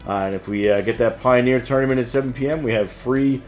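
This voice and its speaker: A man's voice: mid-range voice, fast talker, a little mellow. Casual man reading shop announcements with music. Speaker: host of a game shop news show.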